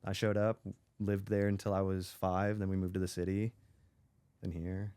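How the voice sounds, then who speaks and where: Low-voiced rambling young man. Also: level tone, normal speed, dark tone, smooth, no echo. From Israel. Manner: rambling, heavy use of "like" and "you know". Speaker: podcast guest. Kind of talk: casual chat.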